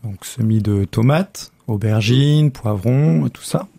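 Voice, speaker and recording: A man's voice: medium pitch, slow pace, vocal fry, dark tone, husky, no room sound. A man hosting a radio show, easygoing and guiding his guests with short prompts. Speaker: radio host. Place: radio studio. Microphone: studio microphone.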